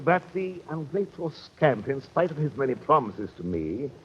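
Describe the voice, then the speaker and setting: Pompous British adventurer captain, radio drama, very lively intonation, flowery phrasing, faint hiss of noise. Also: male voice, mid-range voice, normal speed. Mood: pompous storytelling. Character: pompous, long-winded British captain, genteel roguish adventurer. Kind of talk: old-time radio detective drama acting. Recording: muffled old radio recording, faint noise.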